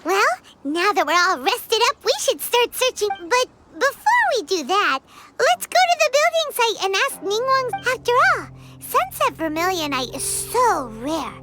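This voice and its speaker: A woman voicing a tiny, chirpy female companion creature who bubbles with excitement and refers to herself in the third person. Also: very high-pitched, a little slow, music in the background, full character voice. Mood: bubbly. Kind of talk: game voice acting.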